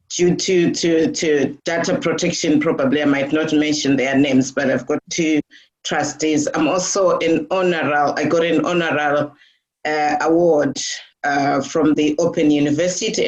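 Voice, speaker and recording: A woman's voice: low-pitched, fairly even intonation, moderate pace. A middle-aged woman from Zimbabwe being interviewed, talking with many fillers and restarts. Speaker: guest. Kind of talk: casual interview talk. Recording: dull lo-fi audio.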